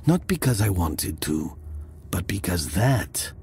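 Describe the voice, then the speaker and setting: A man playing a haunted, fearful storyteller, narrating an audio drama in a halting, hushed, intense way. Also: low-pitched, very animated, gravelly, a little hiss or hum, exaggerated voice. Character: haunted, fearful male storyteller recalling an eerie descent.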